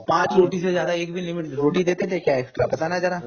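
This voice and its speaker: Young man, rapid speech, slightly high pitch, insistent and accusatory, phone argument, muffled lo-fi recording. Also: fairly even intonation, a crowd in the background. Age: young adult. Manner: insistent, accusatory, repeats questions. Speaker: former academy trainee on a phone call. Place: phone call.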